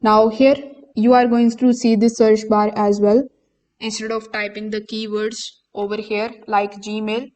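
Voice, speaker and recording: A woman giving a steady, step-by-step software walkthrough as a voice-over, with occasional fillers. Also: medium pitch, rough recording. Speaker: software tutorial presenter. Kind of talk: screen-recorded software walkthrough.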